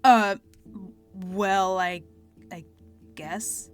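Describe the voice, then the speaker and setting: A woman's voice: mid-range voice, fairly even intonation, extremely slow, clear, resonant tone. An actress playing a blunt, guarded female general in an audio drama, with a wry, terse manner. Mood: wary, awkward. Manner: wry, terse, sharp-tongued. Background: music.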